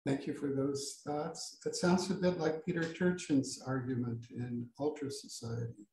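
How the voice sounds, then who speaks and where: A man moderating an academic seminar informally, with a halting, hesitant way of talking. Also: mid-range voice, steady tone, creaky, darkish tone, echoey room, a little hiss or hum, rough recording.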